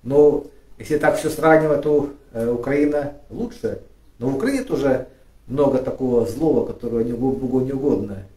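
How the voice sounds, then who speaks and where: A middle-aged man from Ukraine preaching a sermon, halting with fillers and restarts, rising and emphatic when he reads scripture aloud. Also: mid-range voice, expressive, warm tone. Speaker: pastor. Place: church studio with flags and lit cross. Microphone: far camera. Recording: echoey room, voice from a distance.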